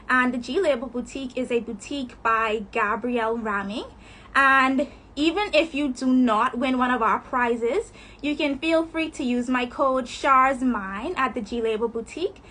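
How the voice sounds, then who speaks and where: A woman's voice: a little high, fairly bright tone. Upbeat woman hosting a phone livestream. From Bahamas. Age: 20s. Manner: reads sponsor notes and interview questions in an upbeat way. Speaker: host. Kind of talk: Instagram live chat. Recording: phone livestream with faint noise.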